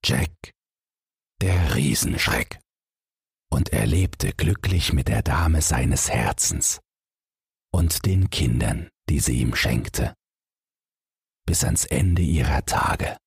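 Very deep, slow-speaking male storyteller. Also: creaky, husky, dry recording, studio quality. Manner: measured, expressive storyteller. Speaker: audiobook narrator. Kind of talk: bedtime fairy tale narration.

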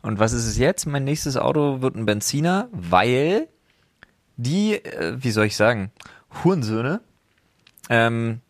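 A man's voice: mid-range voice, very animated, unhurried, dry recording, studio quality. A youngish to middle-aged man chatting casually as a podcast co-host in blunt, colloquial slang. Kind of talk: casual chat between friends. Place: dry, close-miked recording.

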